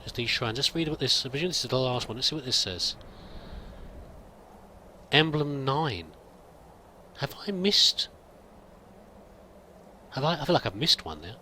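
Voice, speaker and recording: Man, bright clear tone, thinking aloud hesitantly, in-character game commentary, faint hum behind. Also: mid-range voice, animated, unhurried, long pauses. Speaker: let's play roleplayer.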